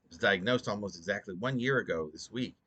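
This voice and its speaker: A man with a low voice reads poetry aloud as its author. He sounds sleepy and goes deliberately, often halting, stumbling over a word or repeating a phrase. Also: pushed and bright, husky. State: drowsy, short on sleep. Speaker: poet reading own work. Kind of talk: slow, halting poetry reading.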